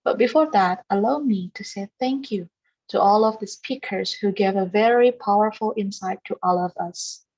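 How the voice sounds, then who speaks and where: Husky woman emceeing a webinar. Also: mid-range voice, normal speed, darkish tone, lo-fi sound. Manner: formal, polite announcing. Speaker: master of ceremonies. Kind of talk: scripted webinar emcee remarks.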